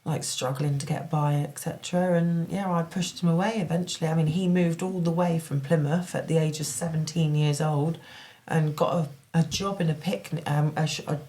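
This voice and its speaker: Low-voiced candid woman. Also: fairly even intonation, normal speed, few pauses, mellow. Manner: candid, self-critical, chatty. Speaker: podcast co-host. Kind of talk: casual podcast chat.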